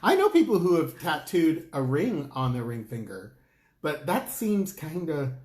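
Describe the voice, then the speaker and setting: A man co-hosting a casual talk show, chatty and playful, breaking into high exclamations. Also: big pitch swings, unhurried, darkish tone, echoey room. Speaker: co-host. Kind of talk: casual chat.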